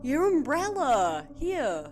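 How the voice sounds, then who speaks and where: Woman playing blunt, feisty young adventurer, lively pitch. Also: slow, noisy background, full character voice. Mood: curious. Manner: blunt, colloquial, challenging. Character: feisty, blunt young female companion, suspicious yet loyal. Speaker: actor in audio drama.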